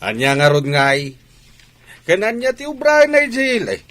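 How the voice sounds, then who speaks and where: Stern village father played by a man, swooping pitch. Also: big pitch swings, a little slow, a bit bright, full character voice. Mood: scornful, stubborn. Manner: gruff, scolding. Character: stern, stubborn village farmer father, dismissive of his son. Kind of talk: village comedy radio drama acting.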